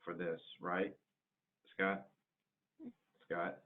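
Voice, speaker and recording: A man moderating an informal business call, halting and repeating words as he goes. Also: sits low, flat delivery. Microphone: conference call line. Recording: narrow, tinny phone line.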